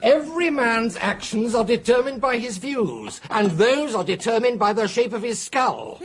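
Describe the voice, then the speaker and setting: A pedantic lecturer on skulls in a radio drama. He explains in an earnest, highish voice with plenty of lift and fall. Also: big pitch swings, moderate pace, a crowd in the background. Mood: lecturing. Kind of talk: 1980s radio drama acting.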